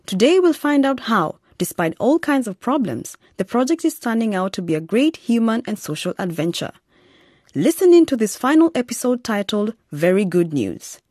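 Casting: female radio narrator, expressive scripted delivery, lively intonation, dry studio sound. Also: a little high, moderate pace, dry recording. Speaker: narrator, presenter.

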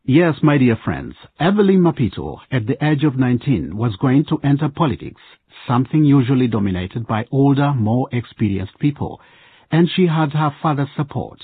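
Animated male radio narrator. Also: mid-range voice, normal speed, slightly rough, dry recording, narrow, tinny audio. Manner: storytelling, addressing listeners directly. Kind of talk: scripted radio drama narration.

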